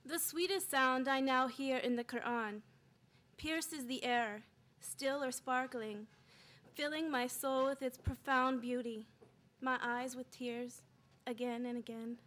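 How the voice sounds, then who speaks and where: A woman reading her spoken-word poetry live, in measured short phrases with dramatic pauses. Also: high voice, steady tone, fairly bright tone. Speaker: poet reading own work.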